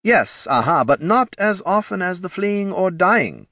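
A man's voice: high voice, sing-song, a little slow, bright, forceful tone, no echo, clean studio sound, pitched above the performer's own voice, heavily acted. A man voicing an eager undead skull, a chirpy marketing executive in a fantasy audiobook, with upbeat, earnest corporate patter. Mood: eager to please.

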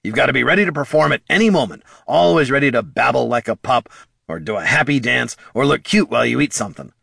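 Male reader doing a proud small kobold, gruff street talk, higher pitch, creaky, audiobook. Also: expressive, fast, a bit bright, no echo, clean studio sound, heavily acted. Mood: defensive. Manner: colloquial, gruff, growling when riled. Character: scrappy small male kobold, plain-spoken, proud, prickly. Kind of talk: audiobook character voice.

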